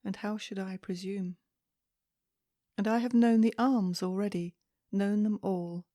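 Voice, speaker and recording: A woman reading poetry aloud in a soft, calming, measured way meant for relaxation, with deliberate phrasing and pauses. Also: mid-range voice, no room sound, clean studio sound. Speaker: poetry reader.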